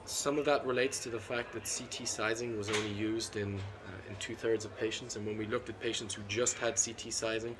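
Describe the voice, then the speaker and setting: A middle-aged man, a cardiologist being interviewed at a conference, talks in a measured, analytical way with frequent ums and restarts. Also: fairly low pitch, steady tone, noisy background. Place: seated at a conference booth indoors. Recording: constant conference hall ambience.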